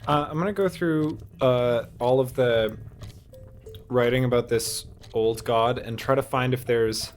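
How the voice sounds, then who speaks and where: A man's voice: medium pitch, measured pace, soft background music. A man talking casually as a player in a tabletop role-playing game.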